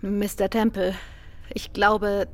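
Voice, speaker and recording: A woman's voice: medium pitch, little pitch movement, slow, natural voice. An actress playing an earnest young female visitor in a German radio crime drama, formal, hesitant and urgent. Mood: earnest, tense. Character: earnest, apologetic young lady informant.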